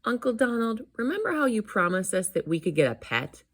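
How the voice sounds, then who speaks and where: A woman's voice: fairly high pitch, very animated, a little fast, fairly bright tone, voice pushed up. A woman voicing eager, pleading young boy duck nephews in a children's storybook. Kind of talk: children's storybook read-aloud.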